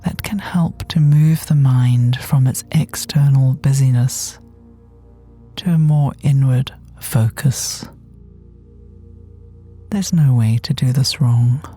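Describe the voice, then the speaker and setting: A woman leads a guided relaxation in a gentle, mellow voice. She is breathy and often slips toward a whisper. Her delivery is soothing and very unhurried, leaving long pauses between phrases. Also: very deep voice. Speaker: guided meditation teacher. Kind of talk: guided body scan meditation. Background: soft meditation music.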